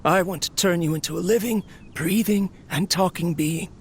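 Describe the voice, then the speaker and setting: An actor playing a tired, lonely inventor speaking softly to his machine in a game. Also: sits high, moderate pace, heavy background noise. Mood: weary. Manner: tired, melancholy monologue. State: ill, coughing, weakening. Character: weary lonely man, a failing inventor talking to his machine. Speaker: game character.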